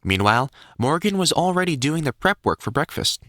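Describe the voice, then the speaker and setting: Expressive male storybook narrator, dry close sound. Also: fairly high pitch, fairly quick, no echo.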